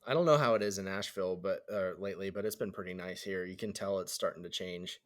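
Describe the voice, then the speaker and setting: A young man with a slightly low voice co-hosts a relaxed podcast with friends. He talks in an easygoing way and laughs often. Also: quick pace. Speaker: podcast co-host. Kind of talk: casual chat.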